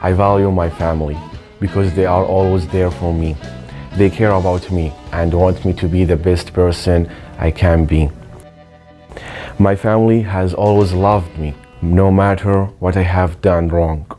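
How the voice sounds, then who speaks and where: A man from Saudi Arabia, a student, giving a slow and earnest personal statement. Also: low voice, steady tone, dark tone, soft background music.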